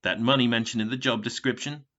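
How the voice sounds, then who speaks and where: An actor playing a smug, taunting television game show announcer with glib, theatrical, condescending patter in an audio drama. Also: male voice, mid-range voice, fairly even intonation, fast, bright, forceful tone, dull audio, natural voice. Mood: slick, teasing.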